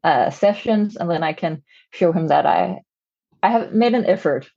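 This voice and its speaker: A woman's voice: lowish voice, expressive, moderate pace, vocal fry, dark tone, husky, highs cut off. A native Danish-speaking woman with a Danish accent, answering interview questions conversationally and searching for words as she goes. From Denmark. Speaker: podcast guest, communications consultant.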